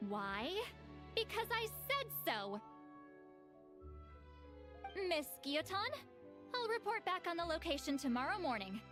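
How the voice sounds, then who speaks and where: A blunt grumbling tracker woman, slow drawl, swinging intonation, game music behind. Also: very high-pitched, sing-song, heavily acted. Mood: brusque. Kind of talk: game cutscene voice acting.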